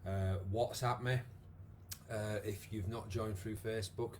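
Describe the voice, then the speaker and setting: Man, croaky, lowish voice, chatty instructor, faint room hum. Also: fairly even intonation, unhurried, darkish tone. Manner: chatty, encouraging, lots of fillers. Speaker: exercise class instructor. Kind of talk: live online exercise class. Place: home room with wall and bar. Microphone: device camera, moves away at times.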